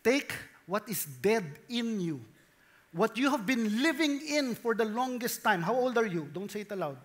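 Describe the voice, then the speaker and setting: A man in his thirties with a Filipino accent preaches as a pastor, switching between English and Tagalog in an animated, playful way with questions to the congregation. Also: high-pitched, normal speed. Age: 30s. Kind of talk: sermon mixing English and Tagalog.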